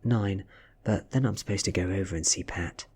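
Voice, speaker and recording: A British man voicing an obliging high-school boy in a storybook reading, soft, breathy and easygoing. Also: normal speed. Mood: hesitant.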